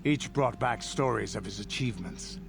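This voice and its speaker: An actor playing a grizzled old warrior in a video game, proudly and solemnly recounting battles in a gravelly voice. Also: male voice, lowish voice, moderate pace, loud music behind the voice. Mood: proud, reminiscing. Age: older. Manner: solemn, boastful, grand storytelling. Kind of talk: video game character monologue. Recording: game audio with background noise.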